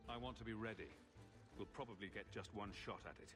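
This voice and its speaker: Man playing a wary male adventurer, lowish voice, dry wit, understated, game dialogue, clear music underneath. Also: a little fast, lightly acted. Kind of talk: video game dialogue acting.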